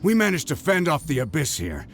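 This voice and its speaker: An actor voicing a grateful, abashed male warrior with a gravelly voice in a video game. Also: a little high, huge pitch swings, brisk, a bit bright, slight background noise. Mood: sheepish, grateful.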